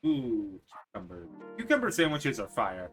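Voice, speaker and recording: A man's voice: mid-range voice, wildly swooping pitch, moderate pace, a bit bright, soft background music. A man hosting a casual chat show, teasing his guests bluntly and swearing freely. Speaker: podcast host.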